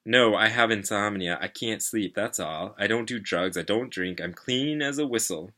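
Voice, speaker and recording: A man's voice: lowish voice, normal speed, bright, forceful tone. A man voicing an anxious, sleepless fifteen-year-old boy in a dramatic first-person story reading, with emphatic outbursts. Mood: nervous, defensive.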